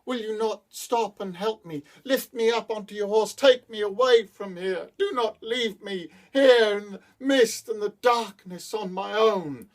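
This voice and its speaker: Man doing a shrill shrieking demon woman. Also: a little slow, hardly any pauses. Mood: pleading. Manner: pleading then screaming, shrill. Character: female demon luring travelers, shrieking, furious.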